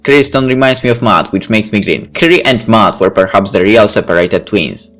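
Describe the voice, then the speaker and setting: A man's voice: fairly low pitch, brisk, fairly bright tone, soft background music. A man reading a visual novel aloud as a let's play narrator, with casual asides.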